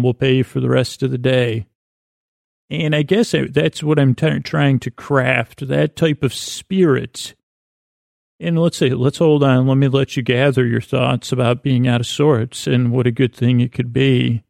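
A man with a creaky voice hosting a sleep podcast, speaking in a lulling, meandering, halting monologue that circles back on itself. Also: mid-range voice, a little mellow, no room sound, clean studio sound. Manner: lulling, soothing tones. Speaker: podcast host. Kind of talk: meandering bedtime monologue to help listeners sleep.

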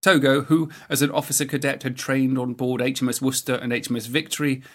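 A man's voice: mid-range voice, little pitch movement, quick pace. A man hosting a podcast, delivering scripted historical narration.

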